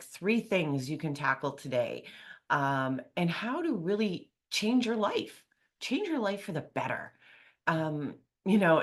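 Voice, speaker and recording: A woman hosting a podcast, talking in an excited, chatty way with many fillers. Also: low-pitched. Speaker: podcast host. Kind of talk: enthusiastic podcast intro and interview.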